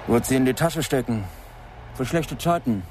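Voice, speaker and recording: A man's voice: medium pitch, normal speed, a little mellow, slight background noise, natural voice. An actor playing a cheeky teenage Parisian errand boy in a radio play, laconic and casual. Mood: cheeky, cool. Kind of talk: crime radio drama dialogue.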